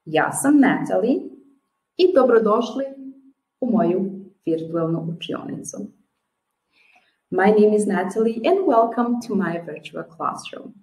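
A woman in her thirties with a Serbian accent, introducing her language lessons to camera, slow and clear, sounding out words one at a time. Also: mid-range voice, expressive, darkish tone. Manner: slow and clear, with careful word-by-word modelling. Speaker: language teacher. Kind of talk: teacher's introduction video. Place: small room at home, classroom posters behind. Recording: echoey room.

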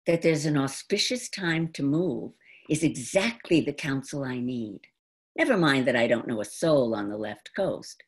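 Woman, 70s, American. Low, highly animated voice for theatrical personal storytelling, deliberately phrased. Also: breathy edge. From New York, United States. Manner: deliberate, dramatic phrasing with pauses. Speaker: monologue performer. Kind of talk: theatrical autobiographical storytelling monologue. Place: indoor room at home. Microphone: computer mic on a video call.

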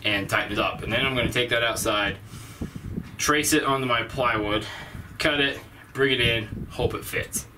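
A man's voice: medium pitch, normal speed. Man, 40s, casual do-it-yourself vlogger, easygoing and wry, close-miked in a small boat cabin, light background noise. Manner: easygoing, self-deprecating, lots of "um"s. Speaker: vlogger restoring a sailboat. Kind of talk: casual how-to vlog talk. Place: small cabin inside a sailboat. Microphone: camera close to the face.

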